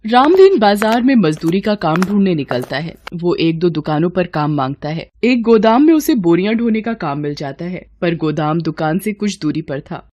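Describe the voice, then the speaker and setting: A woman's voice: fairly low pitch, big pitch swings, normal speed, few pauses, darkish tone. A woman narrator reading a scripted cartoon story.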